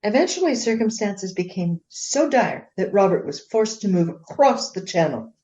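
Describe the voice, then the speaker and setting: Woman acting a haughty, animated Regency gentlewoman, muffled. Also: medium pitch, normal speed, lightly acted. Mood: guarded, formal. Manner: haughty, formal, emphatic. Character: proud, indignant Regency gentlewoman defending her husband. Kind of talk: radio play acting.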